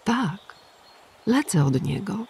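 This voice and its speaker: A woman voicing a gentle old pigeon. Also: medium pitch, slow, gravelly, a little hiss or hum, pitched above the performer's own voice, exaggerated voice. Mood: reassuring. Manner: calm, gentle and reassuring. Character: weary, kind old grey pigeon messenger, male.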